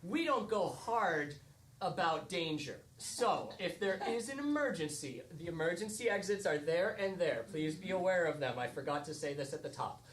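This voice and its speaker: A man's voice: a little high, animated, moderate pace. An American man hosting a live improv show, giving a casual, joking introduction to a theatre audience. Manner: wry, joking. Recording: noisy live theatre stage recording.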